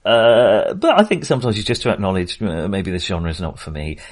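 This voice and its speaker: A middle-aged man co-hosting a film podcast, talking casually in a measured, hedging, wry way. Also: low voice, big pitch swings.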